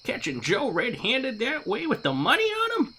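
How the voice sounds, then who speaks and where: An actress playing a brisk, plain-spoken Old West townswoman with folksy speech in an audio drama. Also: on the high side, wildly swooping pitch, bright, forceful tone, a little hiss or hum, full character voice. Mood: frank. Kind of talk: western comic-book audio drama acting.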